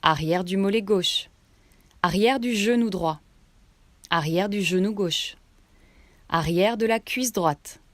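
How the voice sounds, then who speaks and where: A woman guiding a relaxation meditation, giving instructions in short phrases with pauses between them. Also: mid-range voice, no echo. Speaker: yoga nidra meditation guide.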